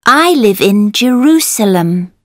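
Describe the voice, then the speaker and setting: A woman reading textbook dialogue lines slowly and carefully as a model for learners. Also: mid-range voice, lively intonation, no echo, studio quality.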